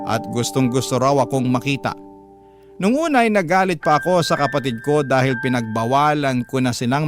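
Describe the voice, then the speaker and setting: Man playing Filipino eldest son, mid-pitched, first-person dramatic narration, painful family story, radio drama, clear music bed. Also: natural voice.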